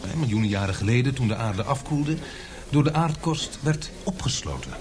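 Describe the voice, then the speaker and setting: A man's voice: medium pitch, animated, moderate pace, a crowd in the background, natural voice. An actor in a radio drama playing a polite, hesitant male newspaper reporter who questions deferentially. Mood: inquisitive.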